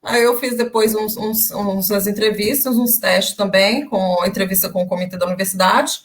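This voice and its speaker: Young brazilian woman on a video call, mid-pitched voice, chatty storyteller, lots of little "é" pauses. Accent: Brazilian Portuguese. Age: young adult. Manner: lively storytelling with many filler pauses. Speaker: interviewee, former language student. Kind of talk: casual interview chat.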